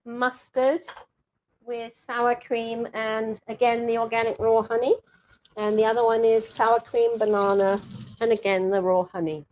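A woman's voice: a little high, a little mellow, a little hiss or hum. An older woman hosting a live cooking demonstration from her home kitchen, chatty and self-mocking. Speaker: cooking show chef. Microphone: fixed camera mic across the counter.

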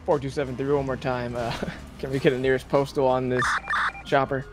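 A man's voice: medium pitch, little pitch movement, brisk, music in the background. A man playing a police officer in a game, switching between casual chat and radio calls.

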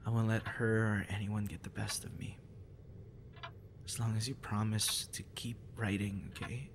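A young man acting a busy, guarded friend in an intimate audio roleplay, with halting, stammering delivery. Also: fairly low pitch, level tone, noisy background, natural voice. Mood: tender, soft.

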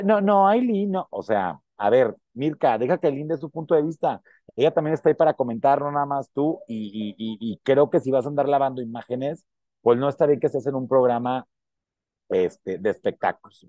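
A man's voice: mid-range voice, a little fast, dark tone, no room sound. A man in his thirties with a Mexican accent, hosting a casual celebrity gossip show and giving opinions, often repeating words as he searches for the next one. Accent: Mexican Spanish. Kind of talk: casual gossip commentary to camera. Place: indoor room with plants behind. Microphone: earphone mic, webcam framing.

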